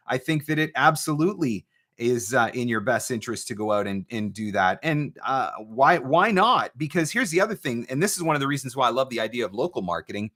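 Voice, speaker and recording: A man's voice: mid-range voice, bright, forceful tone. A man hosting a live question-and-answer advice talk, speaking emphatically like a coach and posing rhetorical questions. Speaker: host, voice-over marketing coach.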